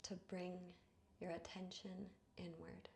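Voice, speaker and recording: A woman guiding a meditation in a soothing, hushed manner, with breathy phrase endings and long pauses. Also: lowish voice, flat delivery, a little hiss or hum. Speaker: yoga nidra guide. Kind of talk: guided meditation narration.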